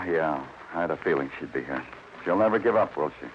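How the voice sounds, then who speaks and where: An actor playing a world-weary big-city police detective, narrating in a terse, brooding 1950s radio noir style. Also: male voice, low voice, lively intonation, fairly quick, a little hiss or hum. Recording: dull vintage radio recording, music underneath.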